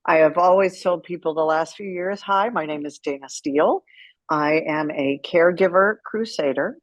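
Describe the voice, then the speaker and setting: A woman's voice: fairly low pitch, moderate pace, no echo, dull audio. A middle-aged American woman telling stories as an interview guest in a candid, wry, conversational way. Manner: candid, wry, animated retelling with quoted dialogue. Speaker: interview guest, caregiver advocate. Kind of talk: conversational storytelling.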